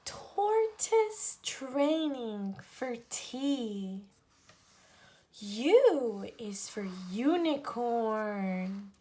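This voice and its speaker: Female children's storyteller, higher voice, animated intonation, deliberate phrasing with pauses for an alphabet picture book. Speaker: read-aloud storyteller for children. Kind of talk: picture book read-aloud, teaching the alphabet.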